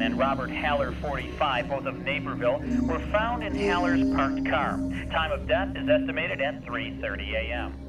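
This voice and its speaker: An actor playing a detached TV news anchor reading a formal bulletin in an audio drama. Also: male voice, on the high side, dull audio, close to an everyday voice. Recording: distant TV sound under loud music. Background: loud music.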